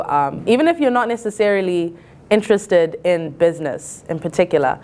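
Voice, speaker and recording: Casting: female college student, early twenties, low register, earnest and reflective delivery. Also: darkish tone. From Zimbabwe. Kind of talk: reflective interview-style talk.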